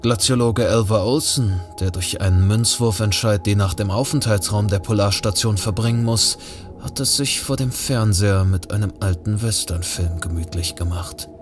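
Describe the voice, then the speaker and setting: Casting: male narrator, lower register, scripted audio thriller, music clearly behind. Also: normal speed.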